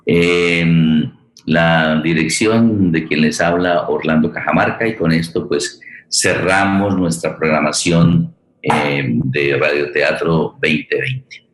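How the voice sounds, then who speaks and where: A man with a Colombian accent hosting a conversational forum, halting and correcting himself as he goes. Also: a bass voice, fairly even intonation, echoey room, low-quality recording. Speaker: host and radio theatre director.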